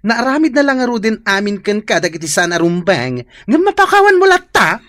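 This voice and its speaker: A woman with an Ilocano accent playing a blunt, exasperated friend, animated and exclamatory, in a radio drama. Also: mid-range voice, fairly quick, no room sound, studio quality.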